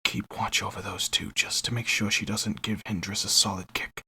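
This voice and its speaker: An actor playing a wry veteran knight captain in a fantasy audio drama, storytelling in a conversational way. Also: male voice, lowish voice, level tone, normal speed, sharp tone, slightly rough, exaggerated voice. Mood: wry, confiding.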